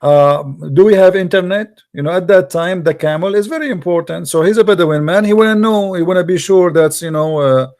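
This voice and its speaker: A man's voice: high-pitched, brisk, few pauses, darkish tone. A man hosting a live debate stream, lecturing in an emphatic, argumentative way. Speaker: livestream debate host. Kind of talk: lecturing religious debate talk.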